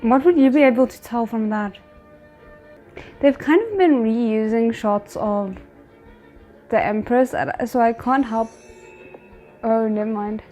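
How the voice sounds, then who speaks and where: Casting: female reaction host, somewhat high-pitched, informal, musing and hesitant as she thinks aloud. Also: a little slow, long pauses, dark tone, lo-fi sound, soft background music. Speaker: reaction host commenting on a drama episode. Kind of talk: casual reaction commentary.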